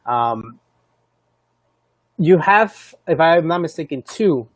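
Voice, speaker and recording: A man's voice: on the high side, animated, takes it slowly, no room sound. A man hosting a live stream, asking friendly, inquisitive questions and often cutting in with encouraging affirmations. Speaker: live stream host and interviewer. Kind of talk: casual interview questions.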